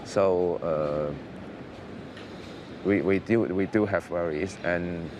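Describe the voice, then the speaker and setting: A male teacher with a Hong Kong accent, speaking haltingly in an interview, full of fillers and repeated words. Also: sits low, background noise. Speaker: liberal studies teacher.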